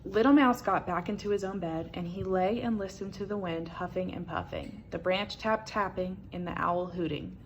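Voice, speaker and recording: A woman reading a children's picture book aloud as an expressive storyteller. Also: fairly low pitch, normal speed, few pauses, a little hiss or hum, low-quality recording.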